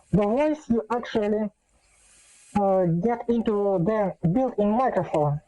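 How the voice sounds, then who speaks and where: A woman giving a casual, unscripted gadget demonstration, speaking in a halting, hesitant way with many filler pauses. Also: a little high, warm tone, low-quality recording. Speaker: host demonstrating an electronic gadget.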